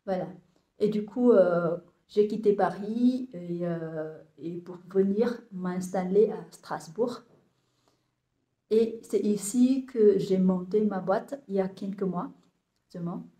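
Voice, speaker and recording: A woman in her thirties from Vietnam, speaking French with a Vietnamese accent, describing her compost business to camera and often pausing on fillers and restarts. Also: medium pitch, warm tone, reverberant. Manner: hesitant, many fillers and restarts. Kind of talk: casual talk to camera, halting.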